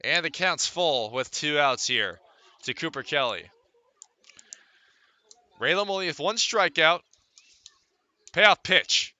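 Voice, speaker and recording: A man doing live radio baseball commentary in a very bright, forceful voice, pitched slightly high. He delivers stats in short clipped phrases and fills out the action in descriptive sentences. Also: expressive, slow pace, pauses often, no echo. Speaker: baseball play-by-play announcer.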